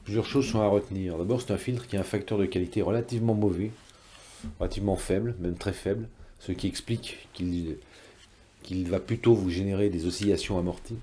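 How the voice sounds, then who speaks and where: A man's voice: low-pitched, fairly even intonation, a little fast, warm tone. A man giving a technical tutorial in a careful, didactic way, rephrasing step by step as he teaches. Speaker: electronics teacher, presenter.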